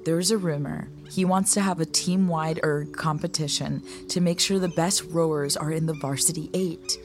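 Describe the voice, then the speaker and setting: A woman's voice: deep voice, fairly even intonation, normal speed, soft background music, close to an everyday voice. A woman playing a concerned, frank young college rowing mentor in a naturalistic audio drama. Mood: encouraging. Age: young adult. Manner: frank, earnest.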